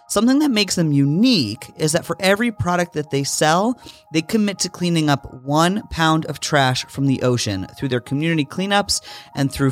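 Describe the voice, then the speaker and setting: A man hosting a podcast, reading a scripted intro with enthusiasm and then asking a guest questions. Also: on the high side. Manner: upbeat and enthusiastic. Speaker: podcast host.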